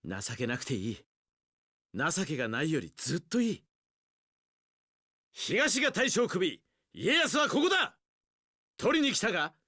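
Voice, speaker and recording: An actor playing a resolute, sunny young samurai general in an action game, speaking in an earnest, heroic, declarative way. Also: male voice, high voice, big pitch swings, measured pace, pauses often, sharp tone, dry recording, clean studio sound, heavily acted. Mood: bold, reassuring. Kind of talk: anime-style action game voice acting.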